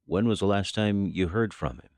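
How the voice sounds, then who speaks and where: Very low female voice, stern FBI special agent, formal, clipped, businesslike, audio drama, dry recording. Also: normal speed, darkish tone, no echo. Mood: probing, official.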